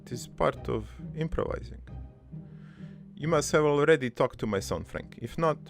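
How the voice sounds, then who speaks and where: A man voicing a middle-aged, foreign-born cartoon father who rambles through his life story in a deadpan, halting, improvised monologue with a gravelly voice. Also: on the high side, music in the background. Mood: rambling, wry. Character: rambling, crude, wistful foreign-born immigrant father named Joey.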